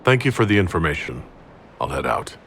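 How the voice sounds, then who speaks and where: An actor playing a composed, courteous fantasy inquisitor in a video game, a man speaking in a measured, formal way. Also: deep voice, sing-song, vocal fry, fairly bright tone, a little hiss or hum, heavily acted.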